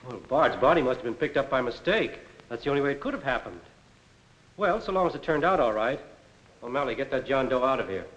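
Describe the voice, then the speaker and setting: A man's voice: medium pitch, lively intonation, fast, fairly bright tone, lightly acted. A man acting a dismissive police doctor in a 1940s crime film. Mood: indignant, dismissive. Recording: muffled old film soundtrack.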